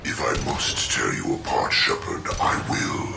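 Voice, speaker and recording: A man voicing a menacing, domineering alien overlord in a video game, slow and threatening, with a processed, monstrous voice. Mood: cold menace. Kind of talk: video game villain acting. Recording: echoing, under loud game music.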